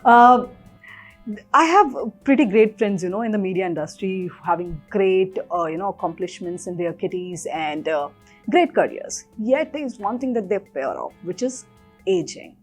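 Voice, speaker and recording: A woman's voice: mid-range voice, expressive, moderate pace, faint music behind. A woman hosting a conversational interview, emphatic and repeating her key phrases. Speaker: talk show host.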